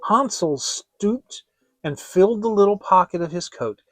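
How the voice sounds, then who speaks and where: Guy doing dramatic fairy tale narration, kinda high voice, dry close sound, theatrical. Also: expressive, no room sound. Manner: theatrical, deliberate storytelling. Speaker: audiobook reader.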